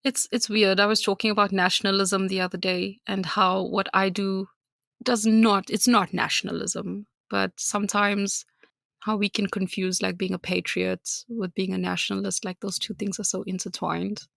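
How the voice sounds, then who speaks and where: A woman's voice: medium pitch, flat delivery, moderate pace, vocal fry, a bit bright, dry recording, studio quality. A woman from South Africa with a South African accent, co-hosting a podcast in candid casual chat, laughing often and ranting freely. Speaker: podcast co-host.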